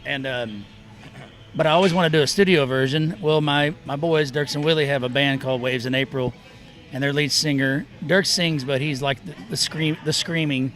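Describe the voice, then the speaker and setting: Middle-aged musician telling stories. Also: male voice, a little high, steady tone, normal speed, a bit bright, faint music behind. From Oklahoma and Texas. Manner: rambling, self-interrupting storyteller. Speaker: musician guest. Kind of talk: casual interview chat. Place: outdoor festival.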